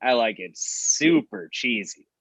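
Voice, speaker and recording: Sly, slow-talking casual guy played by a man. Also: on the low side, a bit bright, slightly rough, full character voice. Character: sly, casual male friend secretly poisoning his rival. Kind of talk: comedy script table read.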